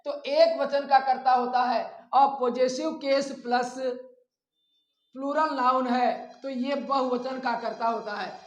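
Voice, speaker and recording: Male grammar teacher, Indian accent, notably high pitch, very unhurried and instructional, dictates examples word by word. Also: steady tone, dull audio. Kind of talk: step-by-step grammar lesson. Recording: echoey room.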